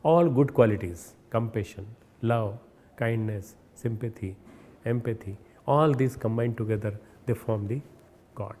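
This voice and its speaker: A man with an Indian accent giving a recorded spiritual message, earnest and instructive, often pausing and restarting words. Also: fairly low pitch, dark tone. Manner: hesitant, repeats and restarts words. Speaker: spiritual leader.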